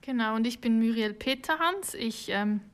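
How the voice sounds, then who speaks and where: A woman's voice: a little high, normal speed. A woman from Switzerland with a Swiss German accent, chatting casually as an interview guest. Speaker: podcast guest, hotel marketing employee.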